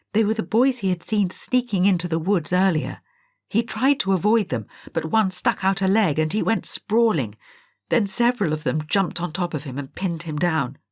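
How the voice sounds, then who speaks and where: Female narrator for a scripted storybook, lowish voice, dry recording, measured but expressive delivery. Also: slightly rough, no echo. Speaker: audiobook narrator.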